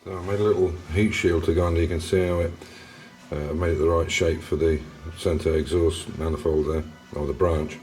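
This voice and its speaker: A man's voice: sits low, fairly even intonation, normal speed, a little mellow, echoey room, soft background music. An English man hosting a casual car restoration vlog, rambling through his workshop with restarts and fillers. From England. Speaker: classic car restorer and channel host. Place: garage workshop.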